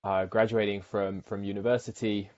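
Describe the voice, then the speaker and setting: A man's voice: fairly low pitch, flat delivery, moderate pace, darkish tone, muffled recording. A man from the UK, a marketing director, talking casually as an interview guest with frequent fillers and restarts.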